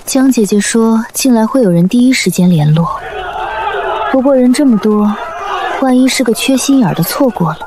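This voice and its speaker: A woman voicing a lively, expressive young game contestant in an animated drama. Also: a little high, moderate pace, over a noisy crowd, heavy background noise, close to an everyday voice. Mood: indignant, worried. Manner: expressive, exclaiming. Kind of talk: animated web drama dubbing.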